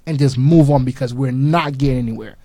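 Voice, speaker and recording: A man born in Haiti and raised in Newark, a guest entrepreneur on a radio show, who spells out his web details slowly and carefully. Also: medium pitch, warm tone. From born in Haiti, raised in Newark, New Jersey. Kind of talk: casual radio interview.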